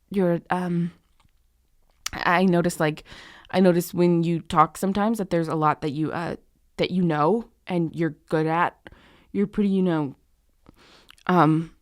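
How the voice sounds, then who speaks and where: A woman playing a snarky but soft-hearted young tutor in an ASMR romance roleplay, halting and sweary, with sighs and a tendency to drop into whispers. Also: on the low side, no echo, clean studio sound, natural voice. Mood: awkward, tender. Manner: halting, awkward, sweary, often sighing and dropping to whispers. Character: harsh, snarky young female tutor turned flustered, guilty comforter.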